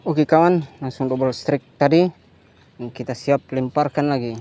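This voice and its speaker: A man's voice: medium pitch, moderate pace, mellow. A man from Indonesia giving casual, playful commentary as he fishes outdoors on a river bank, often repeating catchphrases and exclamations. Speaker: fishing vlogger. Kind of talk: casual commentary while fishing.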